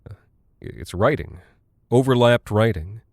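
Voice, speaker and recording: Preoccupied American airman , voiced by a male narrator. Lowish, hesitant mutter, blunt working-guy phrasing, dry intimate audio-drama sound. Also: expressive, measured pace, creaky, darkish tone, no room sound. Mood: puzzled, absorbed. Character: preoccupied, puzzled male aircrew buddy, blunt and colloquial. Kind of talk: quoted dialogue in audio drama.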